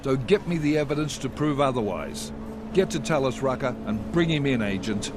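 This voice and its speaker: An actor playing a stern, controlled intelligence chief in a video game, clipped and authoritative. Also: male voice, mid-range voice, lively intonation, moderate pace, noisy background, close to an everyday voice. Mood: firm. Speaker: agency director briefing agents. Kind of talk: video game cutscene acting.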